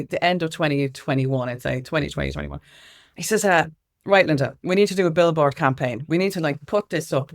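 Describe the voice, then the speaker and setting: An Irish woman being interviewed as a campaigner, speaking in an animated, anecdotal way, repeating phrases for emphasis and acting out quoted exchanges. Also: deep voice. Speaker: interview guest, music equality campaigner.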